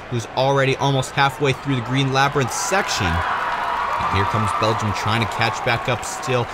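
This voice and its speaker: A male commentator calls a race play by play in a medium-pitched voice. A loud crowd and heavy background noise run behind him throughout. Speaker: sports commentator for marble races. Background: loud crowd and ambient noise.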